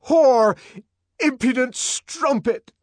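Male narrator as savage young man, tormented, raging, theatrical declamation, raised pitch, dramatic audiobook, dry sound. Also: animated, takes it slowly, dry recording. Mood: furious. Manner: shouting, ranting, theatrical declamation.

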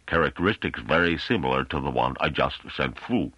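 A man's voice: a bass voice, sing-song, a little fast, fairly bright tone, husky, dry recording, heavily acted. A man voicing a big male underling, falsely humble and needling, in an audiobook with a dull recording. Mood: mock humble.

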